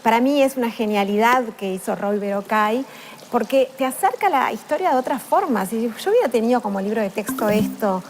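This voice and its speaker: Co-host, woman, lively casual talk, slightly high voice, faint noise. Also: a little fast. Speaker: co-host of a book program. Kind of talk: casual chat.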